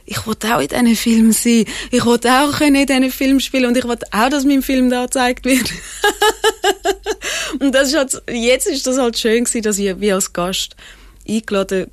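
A woman's voice: fairly high pitch, steady tone, moderate pace, no echo, studio quality. A woman with a Swiss German accent, an actress guest chatting casually, laughing often and mixing in English phrases. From Switzerland. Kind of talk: casual interview chat.

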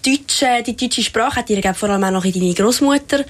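A woman's voice: a little high, fairly quick. A woman interviewing a guest in a friendly way, asking leading questions and laughing often. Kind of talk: casual interview.

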